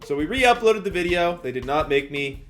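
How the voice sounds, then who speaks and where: A young American man with a slightly high voice chats through a casual storytime vlog. His talk is slangy and self-deprecating. Faint music plays behind him. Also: expressive, moderate pace. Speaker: YouTuber and storyteller. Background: background music.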